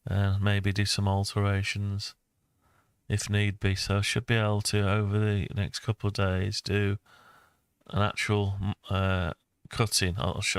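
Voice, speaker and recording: A man's voice: fairly low pitch, smooth, dry recording, clean studio sound. A man in his sixties giving a casual screen-recorded tutorial, rambling and thinking aloud as he goes. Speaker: maker and video host.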